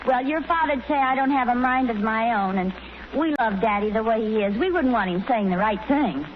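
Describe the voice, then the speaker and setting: A gentle American sitcom mom, played by a woman, with a high and melodic voice. She sounds fond and a little undecided, in 1940s radio style. The recording is muffled and noisy. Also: lively intonation, a little fast, natural voice. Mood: gently confiding. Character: gentle, indecisive, fondly secretive sitcom mom.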